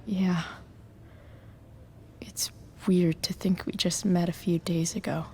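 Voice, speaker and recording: A woman playing an awkward, soft-hearted, guarded teenage girl in a video game, speaking in a hesitant, understated way. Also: on the low side, flat delivery, slow, a little hiss or hum. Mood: subdued.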